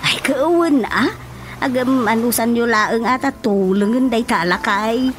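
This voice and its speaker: A woman's voice: on the high side, unhurried, slight background noise, lightly acted. A woman with a Filipino accent playing a chatty, teasing village caretaker in a radio drama comedy, giggly and full of exclamations. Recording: radio drama with music underneath. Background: clear music.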